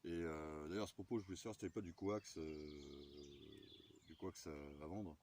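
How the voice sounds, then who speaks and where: A man's voice: very deep voice, fairly even intonation, normal speed, darkish tone, slight background noise. A man chatting in slangy, laid-back fashion as an amateur radio operator, rambling and correcting himself. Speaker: amateur radio operator filming his radio chat. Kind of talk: casual chat, slangy.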